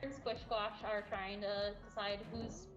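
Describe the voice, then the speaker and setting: A woman's voice: medium pitch, little pitch movement, a little slow, highs cut off. A woman helping out on a cat cafe livestream, chatting casually. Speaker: cat cafe staff helper. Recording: echoey room with music. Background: clearly audible music.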